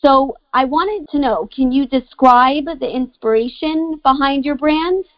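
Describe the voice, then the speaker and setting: A woman's voice: sits high, unhurried, dry recording. A woman hosting an interview show, an encouraging and upbeat interviewer in a conversational style. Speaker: podcast host. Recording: dry, slightly muffled lo-fi audio.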